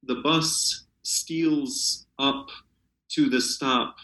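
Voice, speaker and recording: A man is being interviewed as a translator and talks without a script. His voice is bright and sits at a middling pitch. He feels his way through sentences hesitantly, and the sound is noticeably roomy. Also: steady tone. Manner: halting, hesitant, searching for words. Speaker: literary translator, interview guest. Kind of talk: unscripted interview discussion.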